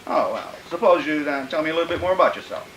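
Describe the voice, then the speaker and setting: A sinister, fussy madman in an old radio thriller, his pitch swooping widely as he mutters and repeats himself with creepy politeness. The recording is roomy and clearly noisy. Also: animated, fast talker, fairly bright tone. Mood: oily, wary. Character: sinister, gleefully deranged male killer posing as fussy polite employer.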